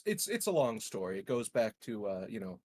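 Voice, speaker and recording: A man's voice: fairly low pitch, very animated, a little fast, a little mellow, slight background noise. A man improvising in character as a player in a tabletop game, telling things in a rambling, evasive, hesitant way.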